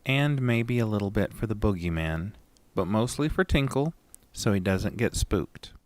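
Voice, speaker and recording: A man playing an anxious, haunted narrator in a first-person horror audio drama, deliberate and suspenseful. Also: on the low side, expressive, darkish tone, no echo, close to an everyday voice. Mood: easygoing.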